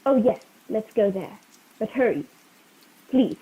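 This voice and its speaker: A woman playing a frightened, secretive spy on the run in a radio play, pleading and urgent in halting phrases. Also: on the high side, expressive. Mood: pleading, panicked. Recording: tinny phone-like video call, faint noise.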